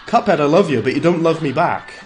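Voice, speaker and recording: A man's voice: a little high, lively intonation, quick pace, faint music behind. Chatty Welsh man streaming a game. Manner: chatty, reacts aloud to the game, greets viewers by name. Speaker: live streamer. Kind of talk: live gameplay commentary and chat replies.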